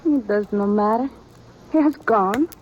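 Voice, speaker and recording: An actress playing a proud, defiant young frontier woman who speaks halting in an old radio drama. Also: medium pitch, lightly acted. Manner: broken, halting English, proud and defensive. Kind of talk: 1950s radio drama acting. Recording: dull old radio recording with faint hiss.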